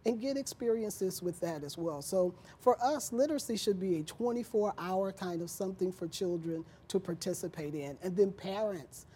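A woman's voice: medium pitch, normal speed, hardly any pauses, dark tone, husky. A woman speaking as a nonprofit guest, explaining things conversationally in an interview.

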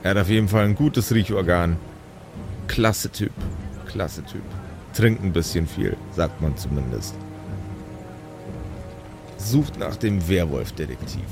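A man's voice: sits low, music in the background. A man voicing a shady, evasive go-between who hints cryptically and drags out his repeated words. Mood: sly, casual. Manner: drawn-out, insinuating repetitions.

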